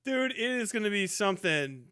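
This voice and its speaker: A man with a forceful, bright voice pitched a bit high talks off the cuff on a livestream. He swears freely, leans on slang and sarcasm, and animatedly acts out what imagined people would say. The sound is dry and close. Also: sing-song, moderate pace, smooth, no room sound. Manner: profane, slangy. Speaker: live streamer. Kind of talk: casual livestream commentary reacting to chat.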